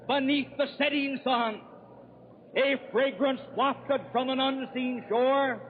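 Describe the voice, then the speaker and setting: A male political orator declaims grandly at a very high pitch, drawing out each phrase slowly. It comes through a tinny old radio broadcast. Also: slight background noise. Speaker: convention orator. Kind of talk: florid political nominating speech.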